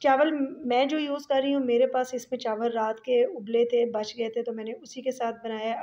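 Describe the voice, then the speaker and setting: A woman's voice: a little high, darkish tone, dull audio. A woman with a Pakistani Urdu accent narrating a home cooking tutorial, chatty and explaining each step with fillers. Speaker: cooking channel host.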